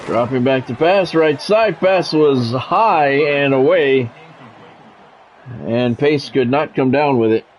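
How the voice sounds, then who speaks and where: A man's voice: medium pitch, takes it slowly, a little hiss or hum. An American man calling live football video game play-by-play in clipped announcer phrases, with casual asides. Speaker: play-by-play streamer.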